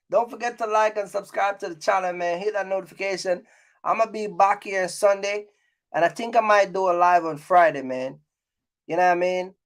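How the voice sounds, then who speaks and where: A man's voice: high voice, fairly bright tone. A man hosting a casual, opinionated vlog, speaking in an emphatic, colloquial way and repeating his points. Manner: repetitive, emphatic, colloquial, chuckles at own points. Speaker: YouTube host, trucking business creator.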